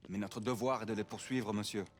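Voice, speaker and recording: Game role: French knight and marquis male actor, French accent, rapid lowish speech, eager but obedient to his general. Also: steady tone, slight background noise, lightly acted. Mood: deferential. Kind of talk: video game cutscene acting.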